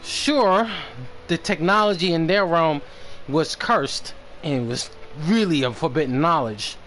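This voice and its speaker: A man doing casual livestream commentary on a game, halting as he stumbles over names and repeats words. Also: fairly high pitch, expressive, fairly bright tone. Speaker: game streamer. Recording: slight background noise on stream.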